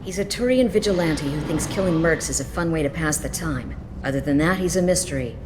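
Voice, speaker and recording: A woman's voice: low-pitched, brisk, noisy background, close to an everyday voice. A woman voicing a jaded, hard-edged mercenary lieutenant in a video game, frank and businesslike with a bitter edge.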